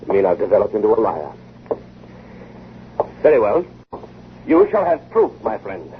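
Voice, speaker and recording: An actor playing a confident, eager inventor in a 1950 radio drama, speaking with persuasive, emphatic assurance. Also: male voice, lowish voice, sing-song, normal speed. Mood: brisk, assured. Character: confident, eager male inventor of a time machine. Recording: muffled, hissy old radio broadcast.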